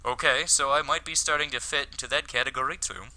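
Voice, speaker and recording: A man voicing an awkward, lovestruck high school boy, wry and self-deprecating, in a visual novel. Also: fairly even intonation, quick pace, bright, forceful tone, poor audio. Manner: wry, flustered. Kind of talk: visual novel character read aloud.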